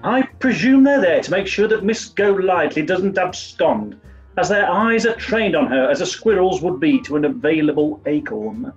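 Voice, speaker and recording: Male actor as plummy upper-class twit sleuth, high-pitched, flustered, 1940s radio comedy, muffled recording with music. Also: expressive, normal speed. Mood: eager. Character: dim-witted, earnest upper-class toff turned amateur sleuth.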